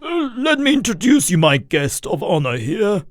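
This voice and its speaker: A man's voice: high voice, big pitch swings, normal speed, no room sound, clean studio sound, soft background music, pitched above the performer's own voice. A man voicing a volatile alcoholic father in a dramatized story, raving and fawning. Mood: effusive, fawning.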